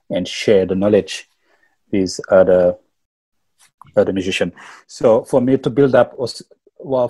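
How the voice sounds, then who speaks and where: A man from Senegal with a Senegalese accent, whose first language is Wolof, telling stories as a musician guest in a casual interview, halting and repeating words. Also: deep voice, dark tone, slightly rough, poor audio.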